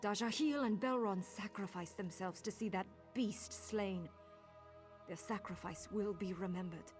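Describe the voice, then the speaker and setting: A woman acts out a solemn soldier in a fantasy video game. She speaks formally and earnestly in a medium-pitched voice, with quiet music behind her. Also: measured pace, lightly acted. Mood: solemn, grieving. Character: solemn female soldier, grieving fallen comrades. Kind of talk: video game dialogue acting.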